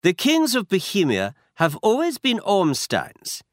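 A man's voice: sits high, wildly swooping pitch, unhurried, no room sound, clean studio sound, voice pushed up, exaggerated voice. A man putting on a masked German-accented king. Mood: formal. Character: foreign nobleman, huge masked king, anxious. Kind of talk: audiobook character reading.

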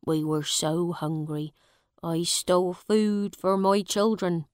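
A grieving poor farmer, read by a woman narrator, recounts his losses in a slow, heavy way. The voice is low and smooth, recorded dry and close. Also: a little mellow, dry recording. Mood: sorrowful. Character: poor grieving male farmer telling his sad life.

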